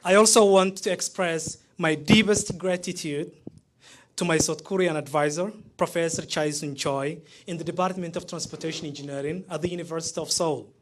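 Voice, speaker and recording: A man in his thirties from Somalia, speaking with a Somali accent as he gives a prepared, heartfelt graduation speech, pausing between phrases. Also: on the high side. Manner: measured, heartfelt delivery with pauses between phrases.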